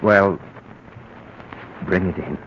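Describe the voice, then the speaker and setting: An actor playing a nervous husband hiding a crime, stammering and flustered in a 1940s radio drama. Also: male voice, low-pitched, drawn-out words, slight background noise, full character voice. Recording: muffled old radio broadcast.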